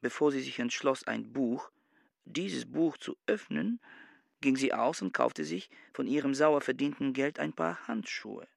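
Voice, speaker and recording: A man's voice: mid-range voice, measured pace, creaky, dry recording, clean studio sound. An actor voicing a pedantic, reclusive male scholar around forty in a radio play, with a stiff, formal, brooding manner. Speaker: actor playing Peter Kien.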